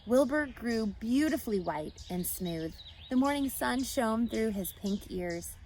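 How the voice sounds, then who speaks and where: A woman reading a children's story aloud expressively, pausing to explain and ask questions like a teacher. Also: fairly high pitch, expressive, a little mellow, a little hiss or hum, rough recording. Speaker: storybook reader.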